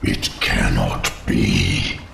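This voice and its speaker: An actor playing an ancient, solemn undead insect lord in a game cutscene, with a gravelly, monstrous, echoing voice and slow theatrical delivery. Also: male voice, extremely low pitch, fairly even intonation, noisy background, exaggerated voice. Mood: alarmed, urgent. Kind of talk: video game cutscene acting. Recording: echoing voice over game music.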